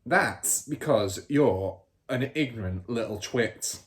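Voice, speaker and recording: A man's voice: mid-range voice, lively intonation, slow pace. A man voicing a boastful, crooked middle-aged car dealer and rude father in a storybook, bragging and scornful with heavy emphasis.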